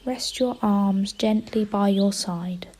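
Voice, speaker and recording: A woman leading a guided meditation in a gentle, unhurried way, pausing between short instructions. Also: medium pitch, slight background noise. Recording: faint outdoor ambience with birdsong. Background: birdsong.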